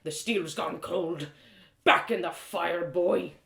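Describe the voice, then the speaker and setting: A woman voicing a gruff, stocky old male blacksmith with a rustic dialect and blustering exclamations in a storybook reading. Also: on the low side, slow pace, a bit bright, echoey room, exaggerated voice. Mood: scolding, blustering. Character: gruff, stocky, red-bearded old male blacksmith, scolding yet genial. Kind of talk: storybook character voice.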